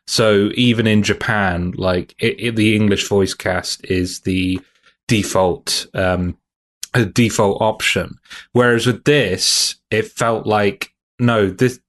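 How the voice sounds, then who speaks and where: A man's voice: lowish voice, a bit bright. A man co-hosting a game podcast, hesitant and often correcting himself mid-sentence. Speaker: podcast co-host. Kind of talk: casual game discussion.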